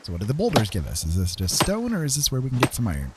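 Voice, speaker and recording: A man's voice: medium pitch, wildly swooping pitch, racing, very noisy. A man giving casual gameplay commentary, thinking aloud and often tacking little questions onto his sentences. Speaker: game streamer.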